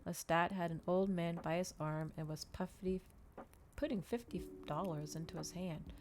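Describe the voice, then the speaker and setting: A woman reading a novel aloud in a dramatic ASMR storytelling style, with measured, expressive delivery. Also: lowish voice, a little hiss or hum.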